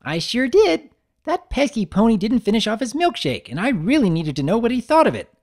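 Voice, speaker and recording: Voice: mid-range voice, sing-song, a little fast, a little mellow, voice pushed up. A narrator voicing a bubbly, hyperactive young female cartoon pony, gushing and sing-song. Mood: perky.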